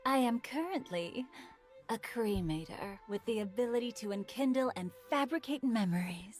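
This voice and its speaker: A woman voicing a poised, enigmatic game character who schemes, speaking in a measured, cool, knowing way. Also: fairly high pitch, lively intonation, natural voice. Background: faint game music.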